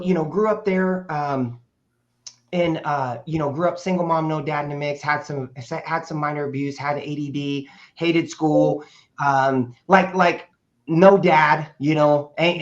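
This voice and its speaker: Guest, male, 50s, raspy and a bit hoarse, rambling and casual, dull lo-fi sound. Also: fairly high pitch, steady tone, a little slow. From Portland, Oregon, USA. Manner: rambling with frequent fillers and restarts. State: hoarse from speaking over the weekend. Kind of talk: casual conversational storytelling. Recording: dull lo-fi audio.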